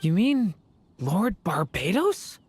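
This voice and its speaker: Self-assured young dragon. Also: male voice, high voice, sing-song, takes it slowly, a little hiss or hum, exaggerated voice. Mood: earnest. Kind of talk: scripted video game dialogue.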